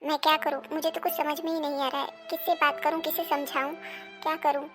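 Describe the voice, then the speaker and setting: A woman acting an emphatic, dramatic role in a Hindi animated family melodrama. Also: sits high, fairly quick, over music, natural voice. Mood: distressed. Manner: emphatic, dramatic, scolding and pleading delivery. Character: women of a quarrelling Indian family: daughter, mother, daughter-in-law.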